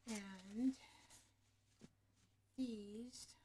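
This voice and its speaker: A woman with a soft, low voice hosting a relaxed craft-along show, chatting to herself as she works, picked up by a camera microphone right in front of her. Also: very slow, a little hiss or hum. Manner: soft, low-voiced, thinking aloud while working.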